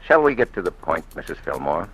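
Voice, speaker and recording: An actor playing a calm, courteous, firm blind detective in an old radio drama, speaking in a measured, direct way. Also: male voice, low voice, slight background noise, poor audio. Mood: firm, matter-of-fact. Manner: measured, matter-of-fact, direct. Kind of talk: 1940s radio drama acting and first-person narration. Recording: old radio recording with music.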